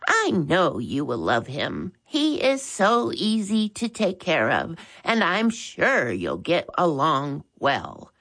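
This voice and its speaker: A woman voicing a loud, squeaky, hard-of-hearing elderly woman who talks slowly and fussily in a storybook reading. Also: wildly swooping pitch, exaggerated voice. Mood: fussy, doting. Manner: slow, loud, fussy, doting. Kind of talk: storybook read-aloud.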